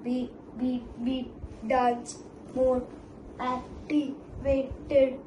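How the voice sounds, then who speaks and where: A young performer voicing an earnest, studious robot with halting word-by-word delivery in an amateur stage play. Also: high-pitched, level tone, darkish tone. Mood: stiff, friendly. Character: earnest robot friend, slow, studious, strict. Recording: echoey room with faint noise.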